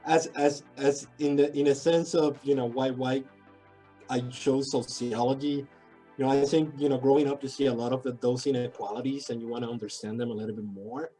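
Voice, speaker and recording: Man, middle-aged, from Puerto Rico, mellow tone, thoughtful, restarts often, faint music behind. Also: medium pitch, fairly even intonation, a little fast, breathy edge, low-quality recording. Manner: thoughtful, many filler words and restarts. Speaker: sociology professor, guest. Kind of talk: casual interview talk.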